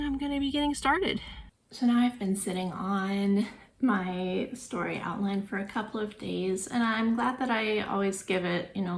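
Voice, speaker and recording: A woman in her thirties vlogging casually about her writing, sick and congested, with a voice that is going, rambling and thinking aloud. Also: mid-range voice. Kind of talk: casual vlog chat.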